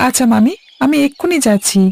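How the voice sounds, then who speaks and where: A woman voices an inquisitive, determined little village boy in a cartoon. The voice is creaky and mellow and moves along quickly. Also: high voice, lively intonation, heavily acted. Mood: determined, eager. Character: curious, determined young nephew, a village boy. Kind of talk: Bengali cartoon voice acting. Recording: music under the voice.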